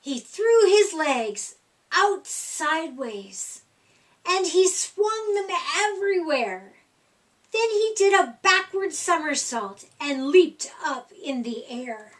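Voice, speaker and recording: A woman reading a rhyming children's picture book aloud, expressively, in a deliberate sing-song delivery. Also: very high-pitched, expressive, distant mic.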